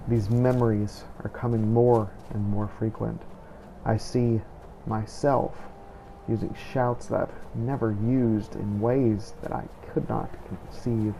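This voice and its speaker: A man hosts a gaming roleplay video with scripted narration. His voice is mellow and gravelly, with creaky fry, over faint background noise. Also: mid-range voice. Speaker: gaming video host, player.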